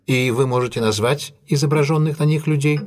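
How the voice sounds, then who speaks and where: An actor playing a shrewd, ironic gentleman detective in an audio drama, with a husky, measured, commanding delivery. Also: medium pitch, soft background music, natural voice. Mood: intrigued. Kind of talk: Russian audio drama acting.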